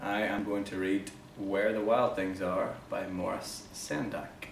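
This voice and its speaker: A man reads a children's picture book aloud like a teacher, slowly and with dramatic swells. His voice is on the low side. He is recorded in an echoey classroom, some distance from the mic. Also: little pitch movement, vocal fry. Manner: deliberate, dramatic storytelling with swells and pauses. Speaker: primary school teacher. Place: small classroom corner, indoors. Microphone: camera a few metres away. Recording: roomy, distant camera mic, some noise.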